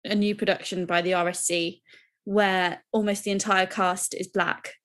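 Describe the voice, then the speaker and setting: A woman's voice: medium pitch, flat delivery, moderate pace, a bit bright. A young woman, co-hosting a podcast, talking enthusiastically and rambling with restarts. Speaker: podcast co-host. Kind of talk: casual chat.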